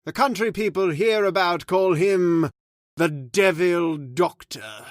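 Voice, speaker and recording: A man playing a solemn caretaker who slowly tells a dark family legend in an old-time radio drama. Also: sits high, no echo, studio quality. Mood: grave. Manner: slow, ominous, measured delivery. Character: grave, solemn male storyteller of the house. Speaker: Mister Roberts, old manor caretaker telling the legend.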